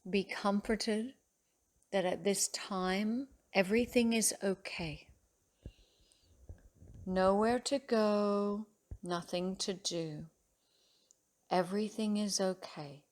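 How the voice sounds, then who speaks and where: Slow, soothing woman guiding meditation. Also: mid-range voice. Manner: soothing, measured, with long pauses. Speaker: yoga teacher guiding meditation. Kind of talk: guided relaxation meditation.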